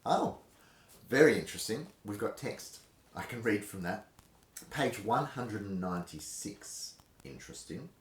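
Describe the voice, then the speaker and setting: A man hosting a dramatic reading, speaking theatrically and emphatically with dramatic pauses. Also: fairly low pitch, expressive. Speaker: host reading aloud from a historical treatise. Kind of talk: casual intro, then dramatic reading of an old fencing manual. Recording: roomy, echoing indoor sound.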